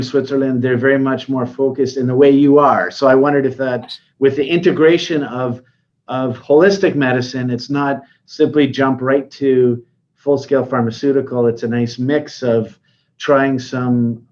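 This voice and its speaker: A man's voice: mid-range voice, dull audio. A man interviewing a guest in a conversational style.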